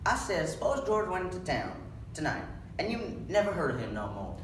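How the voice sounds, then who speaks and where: A man's voice: a little high, wildly swooping pitch, normal speed, pitched above the performer's own voice. A young man playing a lonely, bitter, taunting stable hand in a readers' theatre reading, with needling persistence and rural dialect. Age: young adult. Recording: echoey room, distant mic, background noise.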